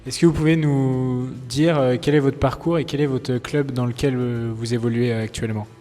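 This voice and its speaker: A man's voice: medium pitch, steady tone, normal speed, mellow. Male interviewer in a very reverberant hall. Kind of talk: on-site vlog interview. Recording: echoey hall, music behind.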